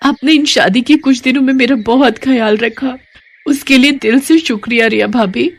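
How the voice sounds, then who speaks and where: Lady doing a sweet young bride for a story cartoon, earnest, affectionate, higher voice. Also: normal speed. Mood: tender, grateful. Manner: tender, earnest. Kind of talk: animated moral story voice acting.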